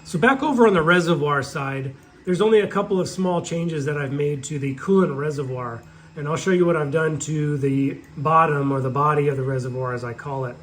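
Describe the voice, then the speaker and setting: A man talking in a workshop, his voice a little higher than most men's and worn from being sick, with a sore throat. He walks through the parts one at a time in a steady way. The faint hum of a printer runs behind him. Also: fairly quick, low-quality recording. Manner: steady, explains step by step. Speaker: shop owner showing parts. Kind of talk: casual product update. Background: faint printer noise.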